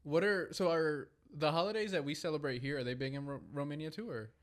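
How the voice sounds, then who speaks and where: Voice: mid-range voice, fast talker. A podcast host asking casual questions, friendly and encouraging. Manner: friendly, encouraging, laughs often.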